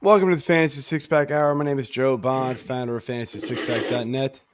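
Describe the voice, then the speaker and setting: A man's voice: medium pitch, steady tone, fairly quick, noisy background. A man hosting a casual fantasy baseball show, his voice a little worn from losing it, talking in an easygoing, rambling way with lots of fillers. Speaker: podcast host.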